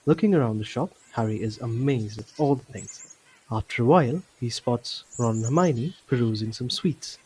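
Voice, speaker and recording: Male story narrator, mid-pitched. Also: normal speed, a little mellow, breathy edge, low-quality recording. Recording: faint background noise.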